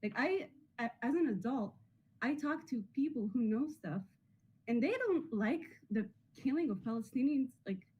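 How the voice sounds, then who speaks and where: A woman's voice: a little high, lively intonation, moderate pace, a little mellow, husky, lo-fi sound. A woman in her twenties from Israel arguing in an online video chat, speaking with many fillers like "like". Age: 20s. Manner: filler-heavy, lots of "like".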